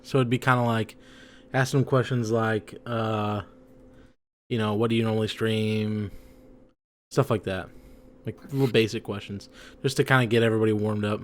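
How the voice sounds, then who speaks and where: A man's voice: fairly low pitch, fairly even intonation, moderate pace. A young man co-hosting a podcast in casual chat, a bit tipsy from drinks, rambling with lots of fillers. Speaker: podcast co-host.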